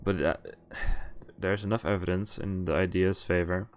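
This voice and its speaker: A man streaming a visual novel, reading the text aloud and breaking off haltingly into muttered casual commentary. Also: low voice, fairly even intonation, a little hiss or hum. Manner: halting, often pausing to mutter asides. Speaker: game streamer.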